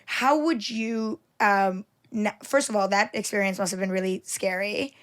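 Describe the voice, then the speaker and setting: A woman's voice: medium pitch, fairly even intonation, normal speed. A woman hosting a podcast interview, speaking in a friendly, admiring way with many hesitations and "um" fillers. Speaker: podcast host. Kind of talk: casual interview.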